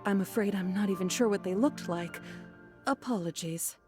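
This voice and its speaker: A woman's voice: medium pitch, normal speed, music in the background, natural voice. A woman voicing a courteous, apologetic female scholar in a video game. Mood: polite. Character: polite, flustered female scholar.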